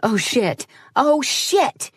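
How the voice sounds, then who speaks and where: A woman voicing a wry, nervously practical heroine in a horror audiobook, with a deadpan, sarcastic way of talking. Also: high-pitched, extremely slow, husky, dry recording, clean studio sound, pitched above the performer's own voice, exaggerated voice. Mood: rattled. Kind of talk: audiobook character dialogue.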